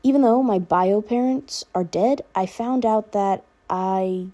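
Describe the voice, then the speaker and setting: A woman's voice: medium pitch, slow, mellow. A woman voicing a protective, teasing older brother in a casual, firm way for a fan fiction reading.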